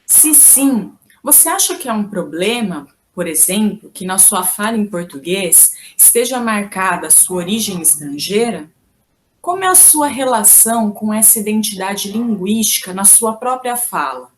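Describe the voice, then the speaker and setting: A woman's voice: medium pitch, big pitch swings, normal speed, a little hiss or hum. A woman from Brazil teaching a video lesson on language, explaining each point patiently and carefully. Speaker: Portuguese teacher.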